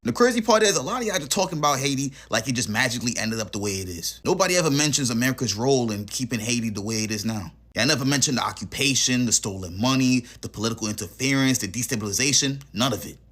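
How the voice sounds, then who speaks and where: A man's voice: mid-range voice, lively intonation, fast, hardly any pauses, a bit bright. A man with an ordinary mid-range voice scolds his viewers in a frustrated, exasperated vlog. He talks straight to the camera, tired and fed up. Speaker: online commentator.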